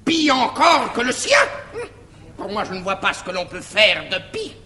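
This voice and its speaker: A man's voice: high-pitched, big pitch swings, bright, forceful tone, exaggerated voice. An actor playing a fussy, suspicious old bourgeois father in a classical French stage farce, declamatory, emphatic and self-satisfied. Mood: puzzled, alarmed. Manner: declamatory, deliberately drawn out, emphatic. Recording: echoey 1961 stage recording, faint noise.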